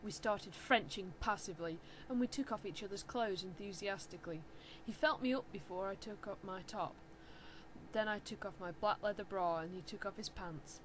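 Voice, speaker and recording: A woman's voice: mid-range voice, moderate pace, a little hiss or hum, low-quality recording. A woman giving a theatrical, mock-serious dramatic reading of fan fiction as narrator. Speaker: reader.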